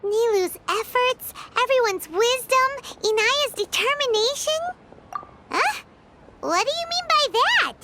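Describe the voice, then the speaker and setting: A woman's voice: very high-pitched, lively intonation, slow pace, very noisy, exaggerated voice. A woman voicing a small, girlish floating companion in a game, chirpy and inquisitive. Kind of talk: game cutscene voice acting.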